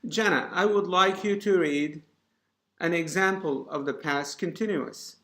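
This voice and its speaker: A male teacher speaks slowly in a fairly high voice, instructing a class step by step. His manner is deliberate and encouraging, and the room echoes around him. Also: fairly bright tone. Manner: deliberate, encouraging, addresses students by name. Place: indoor room.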